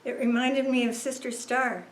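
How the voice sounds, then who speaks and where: A woman reading a rhyming children's picture book aloud in a measured, rhythmic way. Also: fairly high pitch, steady tone, roomy sound, a little hiss or hum, rough recording. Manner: slow, measured, rhythmic delivery. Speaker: story reader at a church service.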